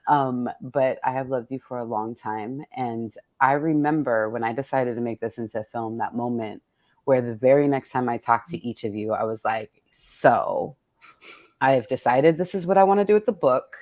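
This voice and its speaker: A woman hosting as an author in casual conversation, gushing, informal and quick to laugh. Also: rumbling low, a little mellow, no echo. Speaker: author hosting a virtual event. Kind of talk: casual chat.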